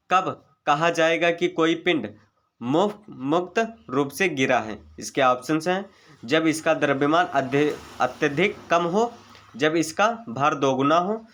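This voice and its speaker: A man's voice: on the high side, a bit bright. A man teaching an exam-prep lesson, methodically reading out multiple-choice questions, their options and the right answers. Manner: methodical, formulaic delivery.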